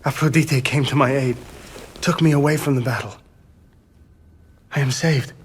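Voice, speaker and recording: An actor playing an earnest young Trojan prince in a game, speaking in a formal way. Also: male voice, mid-range voice, fairly even intonation, moderate pace, natural voice. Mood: relieved. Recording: faint ambient noise behind voice.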